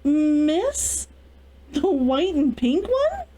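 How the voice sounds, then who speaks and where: A woman's voice: high voice, very animated, extremely slow, dark tone, voice pushed up, exaggerated voice. A woman voicing a deferential, nervous young housemaid in a storybook reading. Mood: startled, meek.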